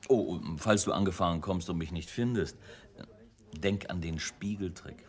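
A man's voice: low-pitched, normal speed, close to an everyday voice. A man playing an easygoing, middle-aged friend of a detective in a radio crime drama, with a jovial, informal manner. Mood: chummy. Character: easygoing mid-forties male buddy of the detective.

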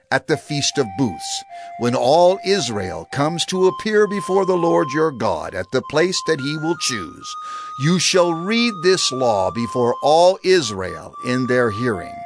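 An elderly prophet addressing his people in a scripture drama. His delivery is earnest and emphatic, with lively, wide-swinging intonation over clear background music. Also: on the high side.

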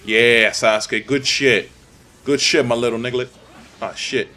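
A man's voice: medium pitch, fairly even intonation, unhurried, pushed and bright, soft background music. A young man from the Dominican Republic giving casual, profane commentary while playing a fighting game, ranting and easily irritated. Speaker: gameplay commentator.

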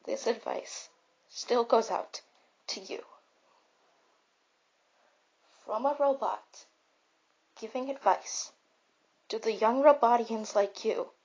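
Husky, earnest robot mentor played by a woman. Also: on the high side, darkish tone. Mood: comforting. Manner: halting, repetitive, earnest, encouraging. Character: gentle robot mentor comforting young robots. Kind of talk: comfort roleplay monologue. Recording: dull lo-fi home recording.

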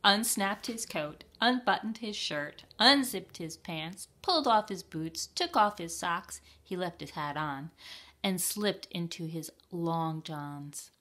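A woman reading a children's picture book aloud in a deliberate, expressive storytime style. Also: lowish voice. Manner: deliberate, expressive, with playful sound words. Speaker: storytime reader at a library.

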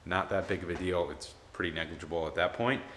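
A man's voice: deep voice, steady tone, fast, a bit bright. A man in his thirties talking casually and matter-of-factly to camera, like a DIY builder explaining his project. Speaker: DIY builder, video host. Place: small garage workshop, indoors. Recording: clear background noise in garage workshop.

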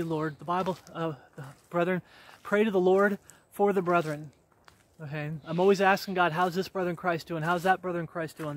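A man's voice: fairly high pitch, a little hiss or hum. A man in his forties preaching earnestly while walking outdoors on a wooded road, talking into a camera held at arm's length, repeating himself with many fillers and restarts. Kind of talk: walk-and-talk devotional preaching. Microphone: handheld camera at arm's length.

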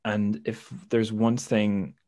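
Low-voiced man hosting, rambling questions. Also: level tone, takes it slowly, dark tone, no room sound. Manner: thoughtful, rambling, self-correcting. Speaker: podcast host. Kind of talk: reading questions in an interview.

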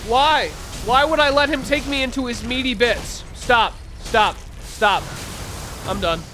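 A young man streaming a game from a small home room, sounding nasal and congested, rambling and breaking into loud outbursts. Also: extremely high pitch, unhurried, a little hiss or hum. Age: young adult. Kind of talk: live gameplay commentary. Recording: game sound clearly underneath.

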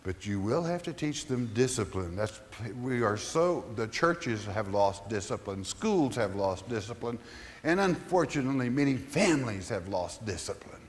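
Male pastor, mid-pitched, chatty teaching delivery with scripture readings, long hall echo. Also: big pitch swings, moderate pace. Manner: conversational, asks the listeners questions, reads scripture aloud. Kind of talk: Bible study teaching.

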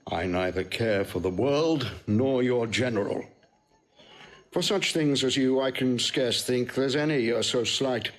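Older Roman patrician played by a man, mid-pitched, haughty and wounded, classical stage-style declamation for radio drama. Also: a little slow, lightly acted. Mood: bitter, scornful. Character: dignified old Roman patrician, wounded and scornful. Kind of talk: classical Shakespearean audio drama acting.

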